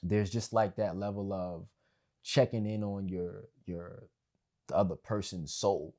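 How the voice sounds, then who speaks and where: A young man with a very deep voice chats on a podcast. He rambles easily, restarting sentences and leaning on fillers as he thinks out loud. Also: fairly even intonation, measured pace. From family of West Indian background. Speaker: podcast guest. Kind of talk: casual podcast conversation.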